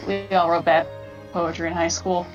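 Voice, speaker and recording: A woman's voice: lowish voice, level tone, moderate pace, dull audio. A young adult woman chatting casually and jokingly as a reactor. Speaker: reactor on a group reaction show. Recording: group commentary over background music.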